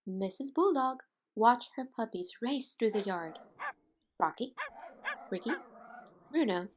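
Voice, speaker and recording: A woman teacher doing an interactive storybook read-aloud, expressive and deliberate, pausing to put questions to young listeners. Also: on the high side. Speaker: teacher reading aloud to children.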